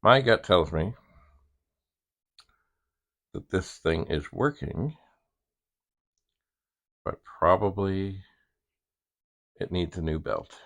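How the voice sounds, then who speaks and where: A man hosting a hobby demonstration, thinking aloud in a casual, halting way and muttering asides to himself. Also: low-pitched, expressive, fairly bright tone. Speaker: vintage computer hobbyist host.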